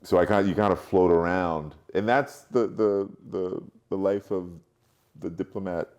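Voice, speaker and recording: A man in his late forties who grew up in Europe, speaking reflectively as an interview guest, haltingly, thinking aloud and repeating words. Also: low-pitched, fairly even intonation, a little mellow, lo-fi sound. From Europe, mostly France, born in Cameroon. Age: late 40s. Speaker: podcast guest, marketing strategist.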